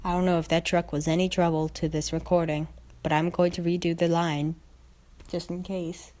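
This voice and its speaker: A woman reading fan fiction aloud as narrator, expressive, with casual asides. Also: fairly low pitch, moderate pace. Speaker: story reader.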